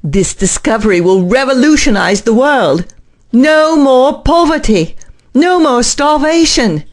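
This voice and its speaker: A woman's voice: sits high, lively intonation, a little slow. Woman as an earnest, eager university scientist. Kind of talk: audio drama acting.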